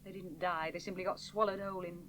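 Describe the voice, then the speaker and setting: A woman's voice: fairly low pitch, flat delivery, quick pace, a bit bright, slight background noise, close to an everyday voice. An actress playing a wistful, stubborn woman aviator in a radio play, clipped and dry. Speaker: pilot in radio drama. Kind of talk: 1970s radio drama acting.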